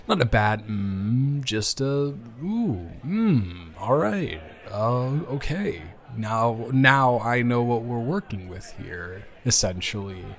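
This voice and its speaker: A man playing a smitten, awkwardly playful friend with benefits in an improvised romantic audio roleplay, speaking hesitantly and teasingly. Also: medium pitch. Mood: awkward, jealous.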